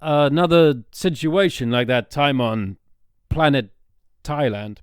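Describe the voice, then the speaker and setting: A man's voice: mid-range voice, animated, slow pace, clean tone, dry recording, clean studio sound. A man playing an irritable, self-important space therapist who stammers and gets flustered in an improvised comedy. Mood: indignant. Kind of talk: improvised comedy audio drama.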